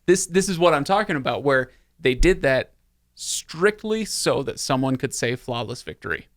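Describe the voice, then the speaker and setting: A man's voice: mid-range voice, expressive, moderate pace, dry recording, clean studio sound. A man hosting a casual movie podcast with a wry, teasing manner. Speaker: podcast host. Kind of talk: casual chat about movies.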